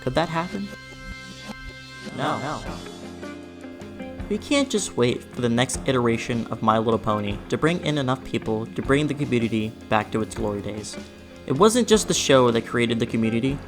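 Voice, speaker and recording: Young adult video essayist with lively, swooping pitch. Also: normal speed, over music. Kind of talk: scripted video essay narration.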